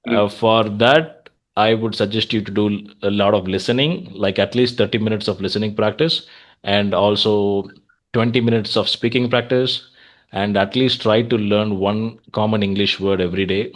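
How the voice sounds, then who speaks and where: A man's voice: lowish voice, steady tone, moderate pace. A man with an Indian accent chatting casually as an English practice coach, giving feedback and advice in an encouraging way.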